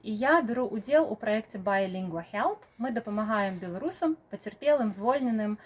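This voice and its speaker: A woman's voice: mid-range voice, lively intonation, normal speed, crowd noise, background noise. A woman from Belarus describing her volunteer work, mixing Belarusian words into her talk. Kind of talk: short personal testimony.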